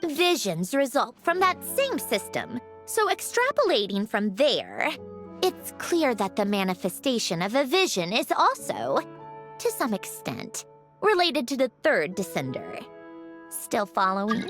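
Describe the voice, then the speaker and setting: A woman voicing a young female automaton in a video game, speaking in a measured, explanatory, slightly sardonic way. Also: fairly high pitch, wildly swooping pitch, a bit bright, full character voice. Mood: explaining. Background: game soundtrack music.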